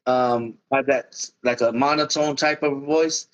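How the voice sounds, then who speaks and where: A man's voice: mid-range voice, fairly even intonation, measured pace, dull audio. A musician guest in a casual interview, speaking in loose run-on sentences and calling the host "man" and "bro".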